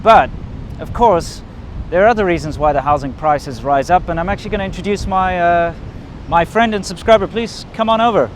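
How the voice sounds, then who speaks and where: A man hosting a casual vlog, talking to the camera outdoors. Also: brisk. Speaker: video host. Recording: outdoor rumble and wind noise.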